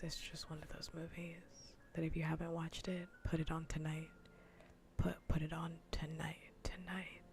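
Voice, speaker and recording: Woman, low voice, soft breathy whisper, ASMR livestream chat, informal and rambling, emphatic repetition. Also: slight background noise. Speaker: livestream host.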